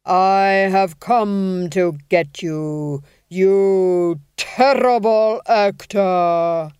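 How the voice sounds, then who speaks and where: A woman voicing a kindly, seasoned male actor who speaks reassuringly and can intone in a slow, hollow way. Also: mid-range voice, a little mellow, clean tone, dry recording, clean studio sound. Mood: intoning.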